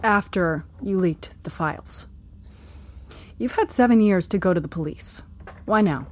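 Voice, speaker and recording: An actress playing a sceptical, cutting interrogator who puts pointed, sardonic questions in an audio drama. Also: female voice, fairly low pitch, lively intonation, a little slow, darkish tone.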